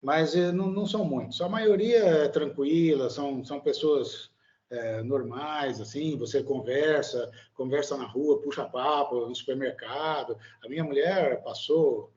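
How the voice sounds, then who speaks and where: A man's voice: medium pitch, unhurried, darkish tone, highs cut off. A Brazilian man, a guest in a casual interview, talking hesitantly with many fillers and tag questions. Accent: Brazilian Portuguese. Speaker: guest, immigrant living in Italy.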